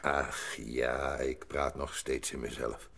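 An actor playing a kindly, gruff old mountain grandfather in a children's audio drama, muttering good-naturedly. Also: very deep voice, little pitch movement, slow pace, fairly bright tone, exaggerated voice. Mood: warm, musing.

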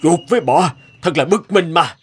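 A man's voice: on the high side, moderate pace, faint music behind, lightly acted. A man playing an earnest, obsessive middle-aged village farmer and inventor in a cartoon, explaining things earnestly. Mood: frustrated. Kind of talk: cartoon dialogue.

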